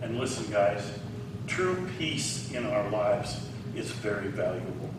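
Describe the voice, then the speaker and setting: A man's voice: lowish voice, expressive, slow, noisy background. A man in his sixties preaching a sermon in a conversational way, asking his listeners questions. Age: 60s. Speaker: pastor. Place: large echoey hall. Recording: echoey hall, distant mic.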